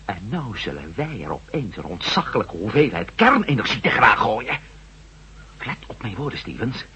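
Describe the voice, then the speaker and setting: An actor playing a shrewd former astronaut turned reporter, questioning officials in an insistent way in a radio drama. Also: male voice, fairly low pitch, sing-song, moderate pace, sharp tone, breathy edge, exaggerated voice. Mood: urgent. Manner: probing, insistent. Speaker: lead character. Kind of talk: 1970s radio drama acting. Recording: dull lo-fi radio recording.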